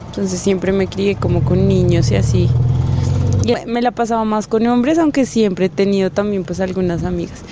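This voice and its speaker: A young woman chats about her childhood for a vox pop, casual and easy. Street noise is clearly heard behind her, with her voice at a medium pitch. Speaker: interviewee.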